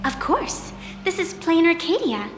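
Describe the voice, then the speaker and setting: A woman's voice: extremely high pitch, very animated, unhurried, exaggerated voice. A woman playing a poised, playful and mysterious young female guide in a video game, speaking formally with teasing, faintly haughty theatricality. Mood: amused, explaining. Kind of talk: scripted video game character acting. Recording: echoey, long reverb, music behind.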